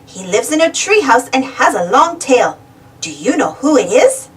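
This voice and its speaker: High-pitched woman reading a children's storybook. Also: normal speed, recorded from a distance, rough recording. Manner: expressive, asking questions directly to young listeners. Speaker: storybook reader. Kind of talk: animated read-aloud storytelling for young children.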